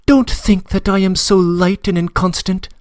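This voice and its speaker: A man's voice: high voice, fairly even intonation, normal speed, no room sound, natural voice. A man voicing a passionate young woman who pleads earnestly, close to tears, in an audiobook reading. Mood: tearful pleading. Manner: trembling, earnest, breathless confession. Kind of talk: audiobook reading of a classic novel.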